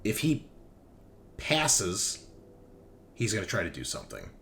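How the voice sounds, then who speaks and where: A man running a tabletop roleplaying game as game master, narrating casually, with loose swearing and excitable reactions. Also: lowish voice, measured pace, creaky, a little hiss or hum. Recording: background music under the voice. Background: music.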